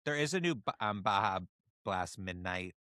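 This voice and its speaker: A woman with a low voice banters casually as a podcast co-host. She is playful and quick with quips. The recording is dry, with no room sound. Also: lively intonation, fairly bright tone, no echo. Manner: playful, quippy.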